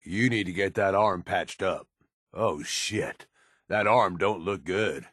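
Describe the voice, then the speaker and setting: An actor playing a gruff, cynical middle-aged American raider lieutenant in a video game, blunt and folksy with a drawl. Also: male voice, slow pace, a bit bright, dry recording, clean studio sound, exaggerated voice. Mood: gruff concern. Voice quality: low, gruff. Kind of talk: video game companion voice lines.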